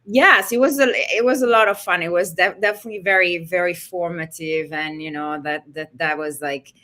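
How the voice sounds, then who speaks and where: Low, bright-voiced woman chatting. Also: a little fast. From Italy. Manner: chatty, many "you know" and "like" fillers. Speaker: costume designer guest. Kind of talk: casual interview chat. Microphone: video call.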